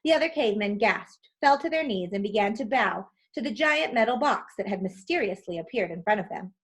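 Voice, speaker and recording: A woman narrating a children's storybook aloud in an expressive style. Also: medium pitch, a little fast.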